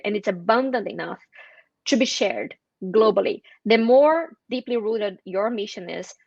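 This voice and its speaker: A woman's voice: medium pitch, no room sound. Woman guest explaining ideas, lots of "like", slightly muffled audio. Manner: thoughtful, many "like" fillers, slows for emphasis. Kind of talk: interview conversation.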